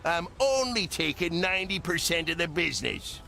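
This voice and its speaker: A man voicing a greedy, scheming cartoon crab with a gravelly voice and a folksy, shifty, blustering manner. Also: on the high side, sing-song, normal speed, pushed and bright, soft background music, full character voice. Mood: sly. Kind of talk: animated game cutscene acting.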